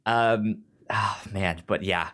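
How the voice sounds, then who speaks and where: A man chats casually as a podcast co-host, taking his time over each thought. His delivery is slow and halting, with frequent stammered restarts on words and sounds. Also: on the low side, a bit bright. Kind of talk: casual chat reviewing a drama series.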